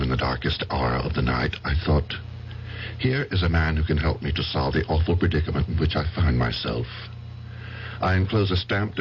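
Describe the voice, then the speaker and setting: A very deep male voice, that of a calm, formal psychiatrist in an old radio play. He is measured and patient as he probes. The sound is dull with faint hum and noise. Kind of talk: old-time radio drama acting.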